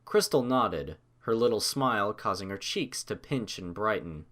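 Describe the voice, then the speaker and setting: A man's voice: fairly low pitch, expressive, normal speed, fairly bright tone. A man giving a scripted narration of a story as an audiobook narrator.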